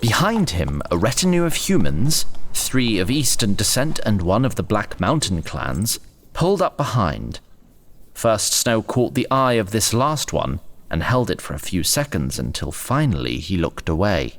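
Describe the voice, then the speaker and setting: A man's voice: lowish voice, sing-song, a little slow, a bit bright, a little hiss or hum, full character voice. A man playing an erudite, wry, all-knowing narrator who tells a scripted fantasy tale in theatrical fashion. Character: wry, omniscient, erudite male storyteller.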